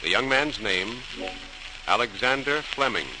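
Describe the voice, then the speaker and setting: A man's voice: medium pitch, expressive, measured pace. Dramatic male radio narrator, crackly vintage recording. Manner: dramatic, formal. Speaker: host and narrator. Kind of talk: 1940s radio scripted narration. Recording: noisy, crackly 1940s radio transcription.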